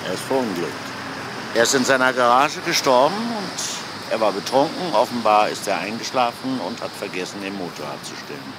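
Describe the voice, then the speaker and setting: An actor playing a polite, quietly probing police inspector in a crime drama, understated and questioning. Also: male voice, medium pitch, very animated, moderate pace, close to an everyday voice. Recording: noisy old film soundtrack.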